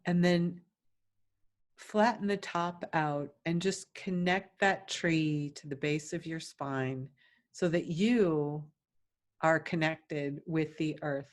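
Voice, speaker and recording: A woman guides a meditation in a fairly low voice. Her delivery is very slow, with long silences between phrases. She gives her instructions gently and soothingly, as a teacher would. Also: steady tone.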